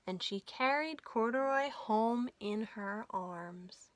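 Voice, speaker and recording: A woman hosting a library storytime, reading a children's picture book aloud in an expressive, child-directed way. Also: on the high side, takes it slowly, a little hiss or hum.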